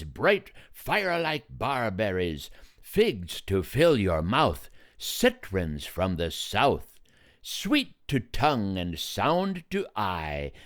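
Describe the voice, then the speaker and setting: Sly goblin merchants hawk their fruit in a very low, slow, sing-song chant that swoops widely in pitch, as a man plays them. It is a dry recording, with no room sound at all. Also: a bit bright, no room sound, exaggerated voice. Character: chorus of sly male goblin fruit-sellers.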